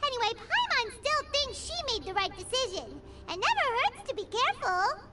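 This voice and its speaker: A woman playing a tiny, childlike fairy companion in an anime game, with a squeaky, perky and indignant delivery. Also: very animated, normal speed, far from the mic, very noisy. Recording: game audio under loud music.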